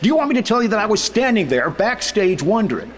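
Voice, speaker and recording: An actor playing a vain, thrifty middle-aged male comedian in 1950s radio comedy style, hesitant, deadpan and easily defensive. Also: sits high, a little hiss or hum. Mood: indignant. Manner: hesitant, deadpan, drawn-out delivery.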